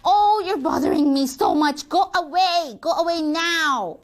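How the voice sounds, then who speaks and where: Woman doing a high, whiny aggrieved young girl. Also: lively intonation. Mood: indignant. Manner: drawn-out, exasperated complaining. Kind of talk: acted children's story dialogue.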